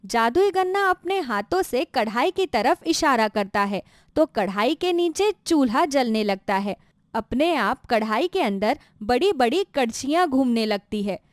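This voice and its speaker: A woman's voice: fairly high pitch, expressive, measured pace, no room sound, clean studio sound. A woman narrating a scripted children's moral story as a storyteller.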